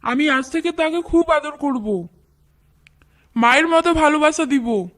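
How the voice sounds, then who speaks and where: Woman as scared cartoon stepmother: high-pitched, shrill, submissive, pleading. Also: expressive, unhurried, a bit bright. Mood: frightened. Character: frightened, submissive young village wife and stepmother. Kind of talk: Bengali cartoon voice acting.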